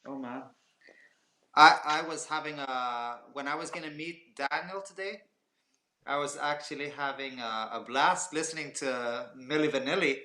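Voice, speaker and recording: A man's voice: mid-range voice, normal speed, roomy sound. A man in his forties from Canada, a guest on a casual video-call chat, telling stories with jokey asides. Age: 40s. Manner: animated storyteller, jokey asides.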